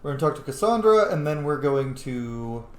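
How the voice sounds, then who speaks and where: A man hosting a gaming video in a lively, swooping middle-pitched voice. He thinks aloud casually and playfully, swearing a little, in a noticeably echoey room. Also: normal speed. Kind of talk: casual let's-play commentary.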